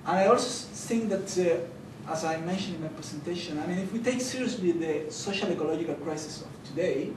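A young Chilean man with a Spanish accent talks earnestly on a conference panel, stumbling and restarting as he goes. His voice sits a little high for a man. It comes from a distance in a reverberant hall with steady background noise. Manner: earnest, halting, with many fillers and restarts. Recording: echoey hall, distant mic, noisy.

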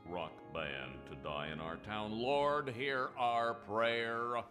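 An actor playing a tired small-town cartoon pastor leading a service. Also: male voice, mid-range voice, takes it slowly, music in the background, natural voice. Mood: preaching. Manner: sing-song preaching, tired patience. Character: weary small-town male preacher. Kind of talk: sermon.

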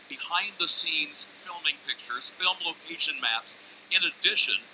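A man working as an auctioneer, listing a car's documents and then calling bids in a rapid auction chant. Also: a little high, noisy background. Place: large indoor auction arena.

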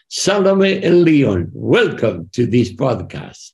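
Older guy hosting a podcast on a video call, slow and gravelly, swings his pitch around a lot, preachy emphasis. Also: very animated, a little mellow. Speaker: podcast host. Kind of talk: conversational interview. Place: home living room.

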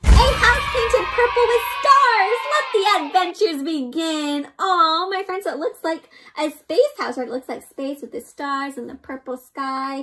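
High-voiced, animated young woman reading to children. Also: a little slow, soft background music. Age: young adult. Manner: animated, expressive, asks the listener questions. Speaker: children's read-aloud host. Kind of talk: interactive storybook reading to children. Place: indoor room.

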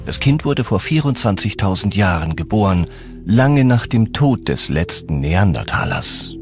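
A man's voice: low-pitched, huge pitch swings, measured pace. A man reading scripted documentary narration as the narrator. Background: background music.

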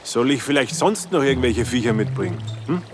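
A man's voice: mid-range voice, big pitch swings, a little fast, music in the background, close to an everyday voice. An actor playing a grumpy, sarcastic man who gives curt, grudging answers. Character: grumpy, sarcastic man being questioned by police.

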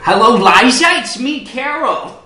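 Man doing dotty, anxious Carol, pitched up. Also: animated, moderate pace, sharp tone, soft background music, exaggerated voice. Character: dotty, anxious caller named Carol, a woman. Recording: roomy living room recording.

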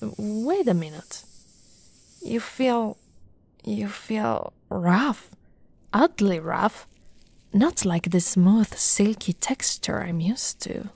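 A young woman playing an affectionate, teasing lamia girlfriend in an ASMR roleplay, soft-spoken and often whispering, with a breathy, coaxing delivery close to the mic. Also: medium pitch, animated, dry recording, exaggerated voice. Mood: curious, concerned. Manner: slow, breathy, coaxing delivery with pet names. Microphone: close, dry microphone.

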